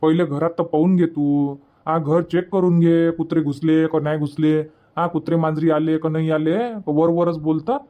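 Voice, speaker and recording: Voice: mid-range voice, little pitch movement, moderate pace, lo-fi sound, close to an everyday voice. A performer plays a village husband and father from a cartoon comedy. He speaks in a Varhadi Marathi accent, handing out orders and scolding like an exasperated head of the house. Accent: Varhadi Marathi from Vidarbha. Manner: bossy, scolding.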